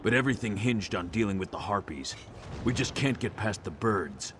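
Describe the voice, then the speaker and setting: Low-voiced, gruff orc siege commander. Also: male voice, expressive, normal speed, fairly bright tone, slight background noise. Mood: businesslike, commanding. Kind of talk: video game character dialogue. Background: music.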